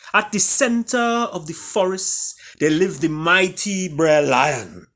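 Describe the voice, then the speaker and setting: A man with a Trinidadian accent, an author and storyteller speaking theatrically, with booming emphasis and hushed drops. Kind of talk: dramatic storybook reading and introduction.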